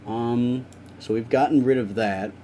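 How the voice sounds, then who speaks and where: A man's voice: mid-range voice, fairly even intonation, a little slow, warm tone, slight background noise. A young man chatting casually with viewers on a live stream while he works, rambling and swearing now and then.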